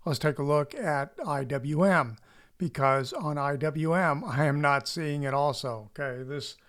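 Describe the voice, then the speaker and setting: Male host for a market analysis channel: somewhat higher-pitched voice, folksy and conversational, explaining step by step. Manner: folksy, conversational, often checking in with "okay?". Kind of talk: casual explanatory screen-share commentary.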